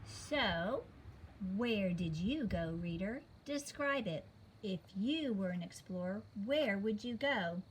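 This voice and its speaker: Slow-paced woman reading to children. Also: medium pitch, expressive, slight background noise, poor audio. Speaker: storybook reader. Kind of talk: read-aloud narration addressed to children.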